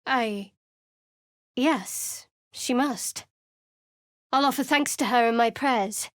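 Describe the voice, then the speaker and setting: A woman's voice: a little high, slow pace, fairly bright tone, dry recording. An actress voicing a guarded young female cleric in a video game, speaking in a cool, wry, secretive way in a dry studio recording. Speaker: game character. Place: studio booth. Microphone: studio mic.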